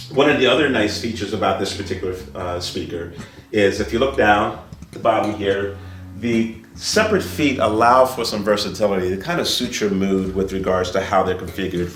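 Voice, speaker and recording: A man giving an informal product presentation as a dealer, speaking in a deliberate, halting way with repeated phrases. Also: lowish voice, a bit bright. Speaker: audio dealer presenting loudspeakers. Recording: roomy, distant mic, faint music behind.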